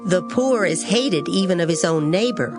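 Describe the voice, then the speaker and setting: A woman giving a solemn, measured dramatized reading of scripture. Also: lowish voice, animated. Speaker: scripture reader. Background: music.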